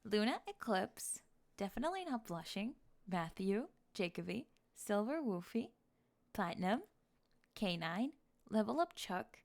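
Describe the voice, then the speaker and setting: A woman hosting an ASMR channel, reading a scripted outro with announcements and shout-outs. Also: mid-range voice, very animated, unhurried, creaky.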